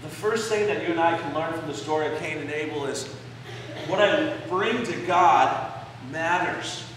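A man in his forties preaches to his congregation, walking through a passage and pausing to stress key phrases. His voice sits high for a man. It comes from far off in a very echoey sanctuary with a long reverb tail. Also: fairly bright tone, slight background noise. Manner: explanatory, gestures while preaching, pauses on key phrases. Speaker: pastor. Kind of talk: sermon. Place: church sanctuary, indoors, medium-sized. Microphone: far camera in the pews.

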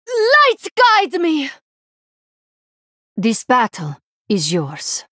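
Devout alien priestess, video game hero, formal, earnest, determined, highly expressive pitch, dry close recording. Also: female voice, no room sound, exaggerated voice. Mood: commanding, fierce, then subdued, grave. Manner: formal, measured, deliberate phrasing without contractions. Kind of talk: video game character voice lines.

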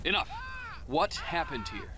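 Calm crime boss, lively intonation. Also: male voice, fairly high pitch, measured pace. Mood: grave. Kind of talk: video game cutscene acting. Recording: game audio with ambient noise.

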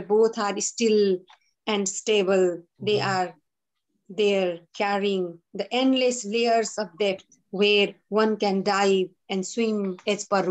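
A young woman recites her poem in a slow, measured way. She reads over a video call from a room at home, her voice sitting at an ordinary middle pitch. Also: poor audio. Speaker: poet. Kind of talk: poetry recitation.

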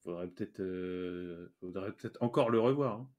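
A man with a fairly low voice. He talks casually in a gaming voice chat, arguing bluntly with plenty of slang and sarcasm. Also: moderate pace, a little mellow, lo-fi sound. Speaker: gamer streaming an online roleplay game.

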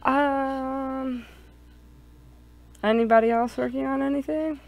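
Slow, creaky-voiced show host. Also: sits high, steady tone, background noise. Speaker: host of a visual novel show. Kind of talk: casual show hosting.

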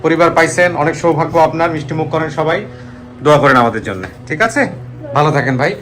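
A man's voice: fairly high pitch, fast, over music. A man with a Bangladeshi accent hosting a show, addressing guests and viewers. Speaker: show host.

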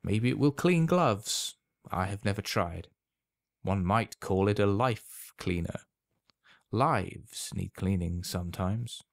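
A man's voice: fairly low pitch, animated, takes it slowly, gravelly, no echo, clean studio sound, full character voice. A man voicing a polite, sly old potion seller in a storybook reading, formal and precise in manner. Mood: indifferent, insinuating.

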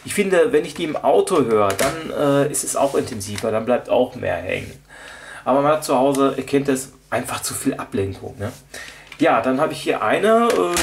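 A man's voice: medium pitch, gravelly, slight background noise. A man talking casually off the cuff in a show-and-tell vlog, rambling and hesitant as he thinks aloud. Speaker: vlogger showing audio drama collection. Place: indoors at a table, off camera.

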